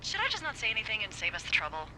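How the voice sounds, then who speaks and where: A woman's voice: medium pitch, wildly swooping pitch, racing, bright, forceful tone, exaggerated voice, poor audio. A woman playing a wry, teasing fire lookout supervisor in a video game, talking playfully over a walkie-talkie. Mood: serious, guarded. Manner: playful, sardonic. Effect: walkie-talkie radio. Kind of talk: naturalistic video game voice acting. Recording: radio-filtered voice with clear background noise.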